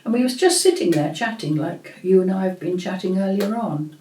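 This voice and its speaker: An older woman host recalling family memories in a slow, reflective storytelling manner, with frequent pauses and fillers. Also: medium pitch, animated, mellow. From England. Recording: echoey room.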